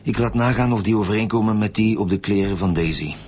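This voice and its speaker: Deep-voiced, commanding male chief detective played by an actor. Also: fast talker, a little mellow, faint music behind, natural voice. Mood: businesslike. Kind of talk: naturalistic radio drama acting.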